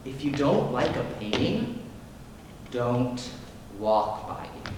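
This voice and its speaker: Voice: mid-range voice, sing-song, slow, darkish tone, slight background noise. A young performer playing a scoffing teen ranting. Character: scornful teenage student who plays football. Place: stage in a large hall. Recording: echoey hall from far off.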